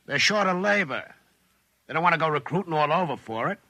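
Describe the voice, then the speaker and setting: A gruff American convict-laborer type from an old radio play, slangy and cynical. His voice is very sharp and forceful, with lively swings in pitch. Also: a little fast, no room sound, heavily acted. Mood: sardonic, matter-of-fact. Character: gruff, cynical male forced-labor quarry worker bossing a newcomer. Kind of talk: 1950s American radio drama acting. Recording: vintage 1950s radio recording.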